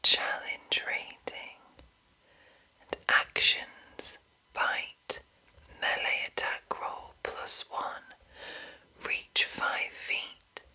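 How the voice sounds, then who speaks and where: Woman, breathy ASMR whisper, slow deliberate delivery, crisp bright edge, close mic. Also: poor audio. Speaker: ASMR host reading a monster manual. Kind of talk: whispered ASMR reading with casual asides.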